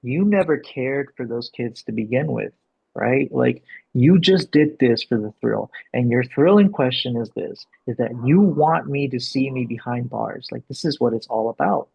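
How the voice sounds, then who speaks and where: A man working as a director, explaining and reading out a script, with a gravelly, husky voice. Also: medium pitch, animated, moderate pace. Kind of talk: explanatory coaching and script narration. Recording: dull, lo-fi sound.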